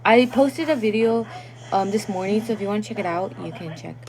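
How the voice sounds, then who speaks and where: A woman's voice: medium pitch, expressive, fairly quick, a little mellow. A young woman chatting casually on a phone livestream; English is not her first language, and she laughs often and sometimes stumbles over words. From Guatemalan family.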